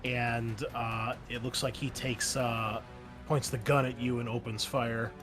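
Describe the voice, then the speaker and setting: A man running a tabletop game as its game master and host, easygoing and quick to laugh, often pausing with ums. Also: mid-range voice, flat delivery, over music.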